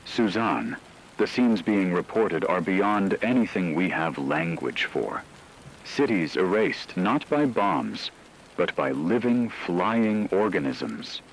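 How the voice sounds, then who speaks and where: A man playing a grave radio news anchor, delivering a scripted catastrophe bulletin in a solemn, measured, dramatic way over steady hiss. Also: sits low, vocal fry, heavily acted, poor audio. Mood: grave, urgent.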